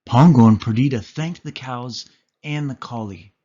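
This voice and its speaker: A man's voice: medium pitch, moderate pace, warm tone. Man reading a storybook, slightly muffled sound. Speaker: storybook reader. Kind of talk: expressive children's read-aloud narration.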